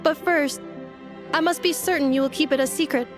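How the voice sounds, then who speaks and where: Female android, acted by a woman: high, courteous, logical, clipped formal delivery, game dialogue with music. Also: a little fast, lightly acted. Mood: formal, measured. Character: polite, logical female robot assistant, calm and precise. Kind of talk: video game voice acting. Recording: music behind the voice.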